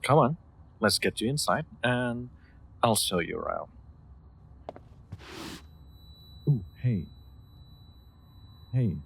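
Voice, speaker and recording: A man playing a gentle, solicitous nobleman in an intimate roleplay audio drama, speaking in formal, courteous, slightly hesitant phrases. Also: mid-range voice, animated, slow pace, gravelly, a little hiss or hum, exaggerated voice. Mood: concerned, gentle. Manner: formal, old-fashioned courteous phrasing, hesitant, soft chuckles. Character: gentle, solicitous nobleman, new master of an automaton.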